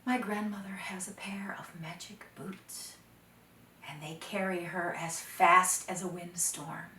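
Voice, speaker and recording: A woman's voice: on the low side, fairly even intonation, takes it slowly, bright, forceful tone, slight background noise. A woman voicing an anxious, cautious young woman in a folktale, speaking in grave warnings. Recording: roomy echo, distant microphone.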